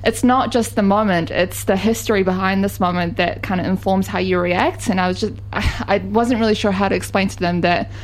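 A woman in her late twenties speaking earnestly as an interview guest, pausing with "um" and restarting her sentences. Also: medium pitch, fairly even intonation. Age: late 20s. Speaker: guest, co-creator of a series. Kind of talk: casual interview chat.

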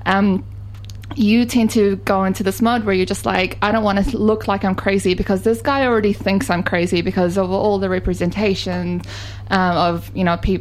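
A woman in her late twenties speaking earnestly as an interview guest, pausing with "um" and restarting her sentences. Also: medium pitch, slight background noise, low-quality recording. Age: late 20s. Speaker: guest, co-creator of a series. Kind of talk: casual interview chat.

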